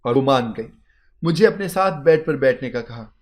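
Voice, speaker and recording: A man's voice: medium pitch, lively intonation, normal speed. A man narrating a scripted, dramatic first-person story as a storyteller.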